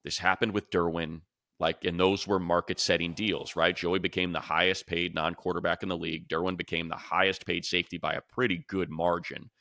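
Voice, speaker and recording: Male American sports co-host, very low voice with a forceful edge, casual and emphatic delivery. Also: flat delivery, brisk. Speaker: sports podcast co-host and commentator.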